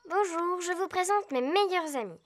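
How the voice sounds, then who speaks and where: A woman's voice: very high-pitched, animated, normal speed. A woman voicing a friendly older girl piglet cousin in a French cartoon dub, slightly hesitant.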